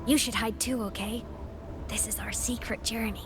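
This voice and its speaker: A woman's voice: a little high, steady tone, normal speed, loud music behind the voice, lightly acted. A woman voicing a playful, friendly young ghost companion in a video game, chatty and secretive.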